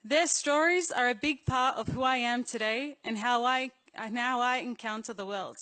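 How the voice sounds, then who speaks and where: A woman's voice: fairly high pitch, normal speed, sharp tone, rough recording. A young adult woman with an Australian accent, delivering a prepared speech to an assembly as a youth delegate in an earnest, personal manner.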